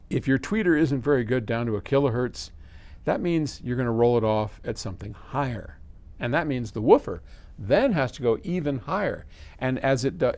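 A man's voice: mid-range voice, gravelly, darkish tone. An American man in his seventies casually explaining to camera, conversationally answering a question with simple examples and asides. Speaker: audio company presenter answering viewer questions. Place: small indoor electronics workshop.

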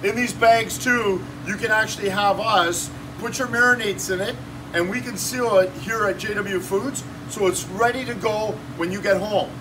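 Energetic salesy man in his fifties. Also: high-pitched, normal speed. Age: 50s. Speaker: shop owner demonstrating cooking. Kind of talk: casual promotional demo. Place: small shop office with kitchen counter, indoors. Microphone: phone camera held by someone nearby. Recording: distant phone audio with room noise.

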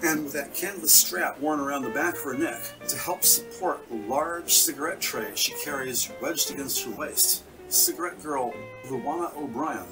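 A man's voice: fairly high pitch, normal speed, hardly any pauses, distant mic, over music, poor audio. A man reading a scripted present-tense detective story as its narrator.

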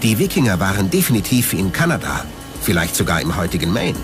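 A man narrates a documentary from a script. His voice is fairly low and moves through lively swings of pitch, with music clearly playing underneath. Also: quick pace.

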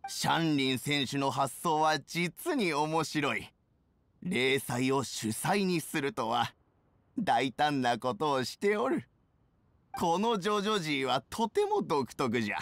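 A dignified old man judging food in an anime game. He talks slowly with lively rises and falls and an old-fashioned, appreciative manner. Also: on the high side, no room sound, heavily acted. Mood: impressed. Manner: measured, old-fashioned elder's speech. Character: dignified, appreciative elderly male food judge.

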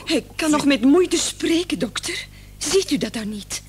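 An actress playing a determined, protective wife in a radio play, insistent and sharply reproachful. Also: female voice, high voice, lively intonation, measured pace. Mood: indignant, accusing. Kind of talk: Dutch-language radio play acting.

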